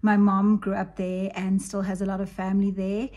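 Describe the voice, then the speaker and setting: A woman's voice: mid-range voice, monotone, normal speed, mellow, dull audio. A woman in her thirties who grew up in South Africa, giving a personal commentary in a conversational, reflective way with frequent asides. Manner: conversational, reflective, uses asides like "you know" and "right". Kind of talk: personal video essay commentary.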